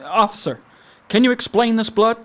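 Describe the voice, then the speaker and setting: A man's voice: sits high, lively intonation, normal speed, a bit bright. A man acting in an audio drama as a courteous, officious presidential aide with a formal, fussy manner that easily turns flustered. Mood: alarmed, officious. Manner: formal, polite, fussy, faltering when challenged.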